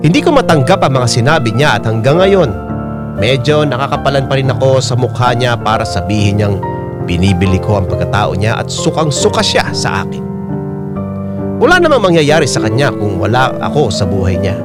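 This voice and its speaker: A man reading a letter as a dramatic first-person radio narration, sentimental and expressive. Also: medium pitch, a little fast. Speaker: radio show letter reader. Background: loud music, piano.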